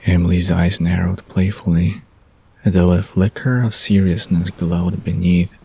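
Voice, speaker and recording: A man narrating a bedtime story softly from a script. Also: low voice, steady tone, measured pace, gravelly, slightly rough. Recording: soft guitar music behind the voice.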